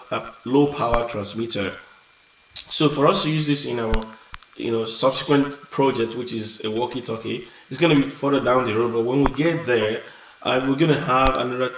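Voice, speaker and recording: A man hosting a casual hands-on electronics tutorial, explaining step by step with frequent restarts and fillers. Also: mid-range voice. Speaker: electronics channel host. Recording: echoey room, mic at a distance, noisy.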